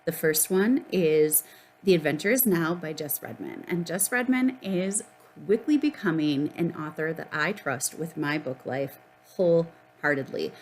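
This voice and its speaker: Woman, book vlogger, unhurried delivery, slightly low pitch, casual, enthusiastic, frequent mid-sentence pauses. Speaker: book vlogger presenting a reading list. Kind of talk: casual talk to camera about books.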